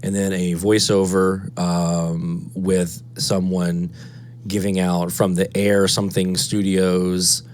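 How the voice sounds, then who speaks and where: A man's voice: deep voice, level tone, takes it slowly, a little mellow. A man presenting a casual screen-walkthrough tutorial, thinking aloud step by step. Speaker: presenter of a radio DXing tutorial.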